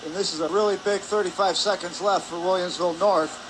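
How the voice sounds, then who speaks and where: A man giving measured, reflective color commentary between plays at a live hockey game. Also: high-pitched, fairly even intonation. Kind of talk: live sports analysis. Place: indoor ice hockey arena. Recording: noisy rink ambience behind the voice.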